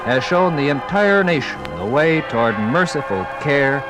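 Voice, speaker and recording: A man's voice: on the high side, expressive, normal speed, over loud music. An American man giving grave, emphatic, dramatic scripted narration in the style of a 1950s radio documentary.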